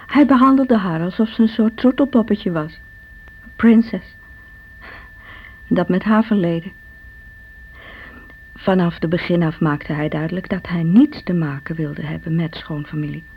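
An actress playing an envious, bitter sister, confiding her brooding recollections in a radio drama. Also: low-pitched. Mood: resentful. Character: Irene, envious, bitter divorced sister, self-doubting. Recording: dull radio recording with faint hum.

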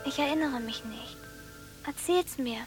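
A woman's voice: sits high, a little slow. A woman acting a stubborn, dreamy little village girl, worn out and earnest, in a radio drama. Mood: curious. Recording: studio radio drama with music.

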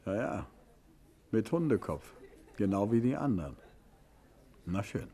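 Male unflappable middle-aged sleuth husband, husky, lively swooping intonation, courteous, vintage radio play. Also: fairly low pitch, a little slow, full character voice. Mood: calm, pondering. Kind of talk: 1950s German radio drama acting.

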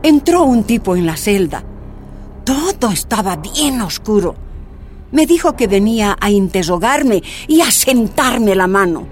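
A woman's voice: medium pitch, very animated, unhurried, faint music behind. An actress playing a tortured woman activist, recounting her imprisonment in anguished, emphatic first-person narration. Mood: fearful.

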